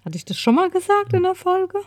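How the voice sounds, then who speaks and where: A woman's voice: very high voice, sing-song, brisk, no room sound, clean studio sound. A woman in her fifties chatting casually and informally as a podcast co-host. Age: 50s.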